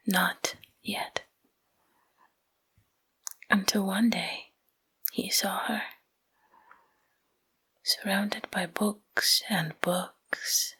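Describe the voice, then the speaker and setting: A woman whispering a bedtime story in ASMR style, hushed and breathy, in short phrases with pauses, close to the microphone. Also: medium pitch.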